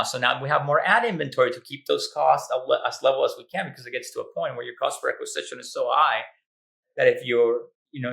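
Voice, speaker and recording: Gravelly-voiced man, relaxed and chatty. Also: medium pitch. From Venezuela. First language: Spanish and Italian. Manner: relaxed, chatty, frequent fillers. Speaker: guest, advertising expert. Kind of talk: casual interview chat.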